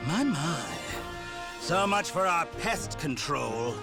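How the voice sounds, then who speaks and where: A man voicing a mocking, gleeful villain scholar in an anime fantasy game, with theatrical, drawn-out delivery. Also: a little high, very animated, creaky, over loud music, exaggerated voice.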